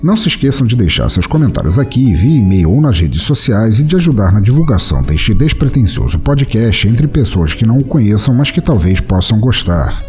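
Podcast host, male, low and mellow, lively delivery, relaxed and chatty, faint music underneath. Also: brisk, hardly any pauses. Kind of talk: casual closing remarks to listeners.

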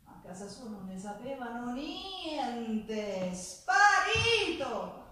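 An actress playing a poor, resilient Sicilian ex-prisoner who tells her story in a theatrical monologue, with a Sicilian accent and a slow, dramatic delivery. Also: a little high, very animated, pushed and bright, heavily acted. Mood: anguished. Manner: slow, dramatic, pausing, repeating phrases. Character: Sicilian woman, poor ex-prisoner singer, proud, wounded, resilient. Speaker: stage actress. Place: theatre stage, live performance. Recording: echoey theatre, distant microphone.

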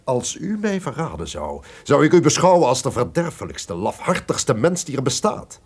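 An actor playing a cunning, distrustful lord who feigns friendship, formal and insinuating in a gothic radio drama. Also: male voice, mid-range voice, very animated, moderate pace, breathy edge. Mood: menacing.

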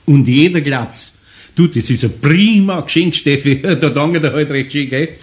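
An actor playing a good-humoured older master carpenter with a Bavarian accent, homely and conversational, in a radio play. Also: male voice, on the high side, normal speed, close to an everyday voice. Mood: pleased, amused. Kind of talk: German radio play acting.

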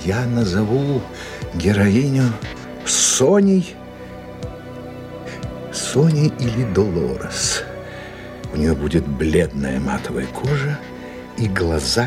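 A man's voice: low-pitched, heavily acted. A man playing a fretful, self-dramatizing English mystery writer in a radio play, musing to himself theatrically. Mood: musing, dreamy. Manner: theatrical, musing, flustered and hesitant when surprised. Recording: music behind the voice.

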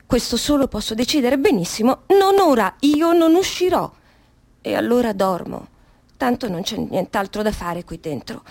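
A woman's voice: on the high side, darkish tone, dry recording, full character voice. An actress in a radio drama playing an anguished woman prisoner, frightened yet defiant, in a monologue. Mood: bitter, defiant, then resigned.

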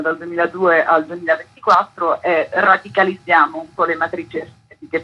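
A woman's voice: lowish voice, bright, forceful tone, slightly rough, poor audio. A woman being interviewed on the radio as a festival's artistic director, explaining things in an articulate way.

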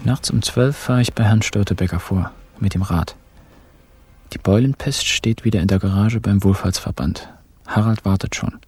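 A man's voice: fairly low pitch, normal speed, a little mellow, breathy edge. An actor playing a wry, irreverent man who tells a crime story in colloquial present tense for a radio play. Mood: wry storytelling. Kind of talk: colloquial first-person radio drama narration in present tense.

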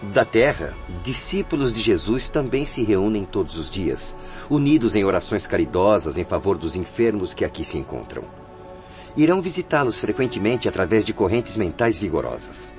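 A deep, solemn Brazilian spirit guide. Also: male voice, very animated, normal speed, a little mellow. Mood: earnest. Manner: didactic, formal, solemn. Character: solemn male spirit guide, grave patient instructor. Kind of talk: Brazilian radio drama acting. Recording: dull lo-fi radio drama audio with music.

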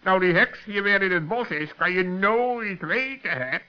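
A clever, rather smug raven in an extremely high voice. The delivery is dry and laconic, from a children's radio play with dull, lo-fi sound. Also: male voice, animated, measured pace. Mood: matter-of-fact. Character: shrewd, self-satisfied male raven, dry and matter-of-fact. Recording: dull lo-fi old radio recording.

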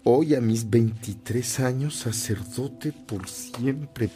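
An actor playing a devout, humble young priest of twenty-three in a solemn, reverent radio drama. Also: male voice, medium pitch, takes it slowly, close to an everyday voice. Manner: solemn, reverent, chanting at times. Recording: echoing church-like hall, loud music behind.

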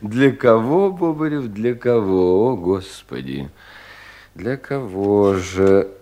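An actor playing a despairing, bitterly mocking young provincial official in a theatrical radio drama, with long dragging pauses. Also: male voice, fairly low pitch, big pitch swings, warm tone. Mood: anguished despair. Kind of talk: 1970s Russian radio drama acting.

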